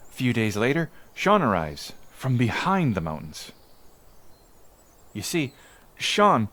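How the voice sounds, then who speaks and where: A man's voice: medium pitch, fairly bright tone. A man playing an island villager in an ASMR roleplay, recalling his childhood in a folksy, musing, conversational way. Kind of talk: ASMR roleplay storytelling monologue.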